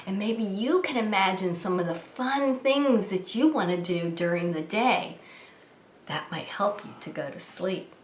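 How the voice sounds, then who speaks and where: A woman hosting a preschool story time, talking to young children in a gentle, sing-song, encouraging way. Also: mid-range voice, lively intonation, a little slow, slight background noise. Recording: roomy, echoing indoor sound.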